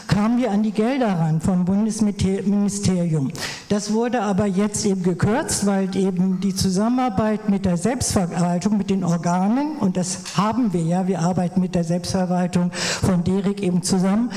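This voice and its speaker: A woman's voice: medium pitch, mellow. A woman from Berlin giving an earnest, explanatory contribution from the audience at a seminar. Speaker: audience member. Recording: echoey hall.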